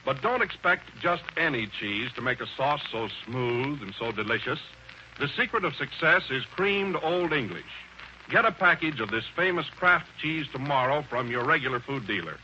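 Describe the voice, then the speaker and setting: A man's voice: mid-range voice, animated, normal speed, pushed and bright. An American man, a radio announcer, reading a scripted old-time advertisement with emphatic, polished salesmanship. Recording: lo-fi old radio recording, faint hiss.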